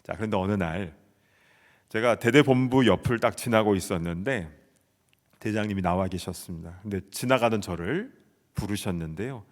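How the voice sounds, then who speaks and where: A man in his forties from South Korea preaches in a church, telling a story with earnest conviction. His voice sits on the low side, and a long hall reverb trails every phrase. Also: normal speed. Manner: earnest storytelling, shouting out quoted soldier replies. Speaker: pastor. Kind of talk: sermon. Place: church sanctuary.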